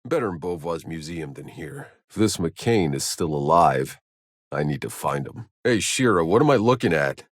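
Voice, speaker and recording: A man's voice: deep voice, animated, moderate pace, no echo. An actor playing a hardened, weary male soldier in a sci-fi video game, speaking in a terse, dry, sardonic way. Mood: wry, musing, then terse, wry. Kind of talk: scripted game voice lines.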